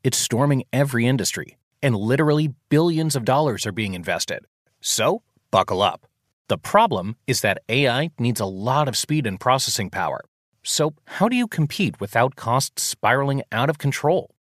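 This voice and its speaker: A man's voice: mid-range voice, animated, moderate pace, dry recording, clean studio sound. A man reading a scripted radio commercial as an announcer.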